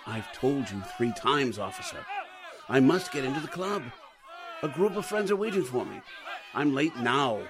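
An actor playing a stuffy, entitled club-goer in a radio crime drama, speaking in a pompous, formal, insistent way. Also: male voice, mid-range voice, big pitch swings, moderate pace, natural voice. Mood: indignant. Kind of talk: old-time radio crime drama acting. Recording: noisy crowd ambience behind the voice.

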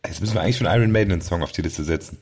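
A man's voice: deep voice, rapid-fire. A man hosting a podcast in casual chat, rambling a little and correcting himself mid-sentence. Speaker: podcast host. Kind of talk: casual chat about music.

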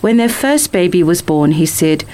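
A woman's voice: fairly low pitch, animated, moderate pace, mellow. A woman reading a picture book as scripted story narration.

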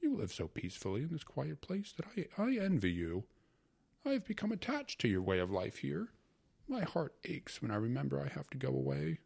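A man reading aloud puts on a sentimental aging gentleman, fussy and pleading. The voice climbs far above the reader's own low tone, light and wistful. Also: wildly swooping pitch, a little fast, creaky, breathy edge, full character voice. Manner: pleading, wistful, higher than the narration.

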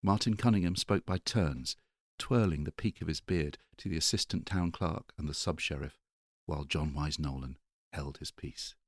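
A man's voice: extremely low pitch, moderate pace, no room sound, clean studio sound. A man reading a novel aloud as an audiobook narrator. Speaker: audiobook reader. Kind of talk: literary narration.

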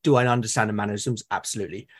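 A British man in casual, reflective conversation, explaining things in a halting, thoughtful way and often rephrasing himself. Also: lowish voice, steady tone, breathy edge. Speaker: podcast guest or co-host, tabletop game master. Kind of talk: casual reflective conversation about roleplaying games.

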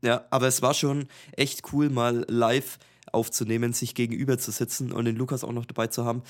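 A man's voice: mid-range voice, brisk. A young man with a Franconian accent, co-hosting a casual podcast chat over a video call and laughing easily. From Franconia, Germany. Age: young adult. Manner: relaxed, chatty, laughs easily. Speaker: podcast co-host. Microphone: home podcast mic over video call.

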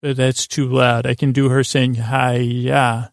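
A man with a creaky, gravelly voice hosting a sleep podcast in a meandering, halting monologue that keeps interrupting itself. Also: mid-range voice, flat delivery, no echo, clean studio sound. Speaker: podcast host.

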